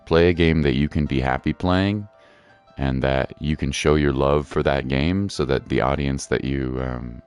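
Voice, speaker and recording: A man chatting casually and encouragingly as he hosts a game livestream, using relaxed slang. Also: a bass voice, moderate pace, clean tone, faint music behind. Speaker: game streamer and host.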